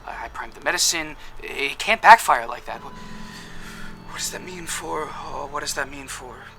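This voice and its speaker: A smug quack healer. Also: male voice, normal speed, vocal fry, in a whisper, over music. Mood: panicked. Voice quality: high, bright voice, smug and evasive. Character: smug shady male quack healer, self-assured. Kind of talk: audio drama acting.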